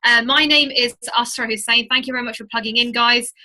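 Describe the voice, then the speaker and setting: A woman's voice: on the high side, steady tone, fairly quick, bright, forceful tone. A woman hosting an informal online training webinar, chatty and emphatic, urging her listeners on as she talks to them directly. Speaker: webinar host and trading mentor.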